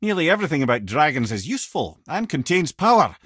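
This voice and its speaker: A clever, wry old Scottish storyteller for a video game. His voice has a husky grain and swings widely in pitch as he spins long, wordy tales and dry advice. The sound is dry and close, with no room around it. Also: big pitch swings, fast talker, fairly bright tone, no echo, full character voice. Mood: wry advising. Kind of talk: video game character dialogue.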